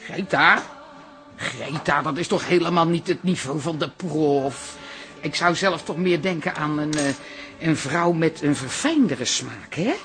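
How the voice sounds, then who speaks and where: A woman's voice: low-pitched, unhurried, fairly bright tone. An actress playing a sceptical, slightly snobbish woman with a hesitant manner in a radio drama. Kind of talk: modern radio drama acting.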